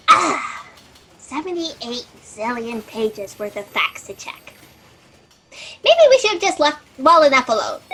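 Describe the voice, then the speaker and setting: A woman's voice: sits high, bright, forceful tone, full character voice. A woman playing an eager, chatty fact checker in a comic sci-fi audio drama, perky and a little hesitant. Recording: noisy home video-call audio.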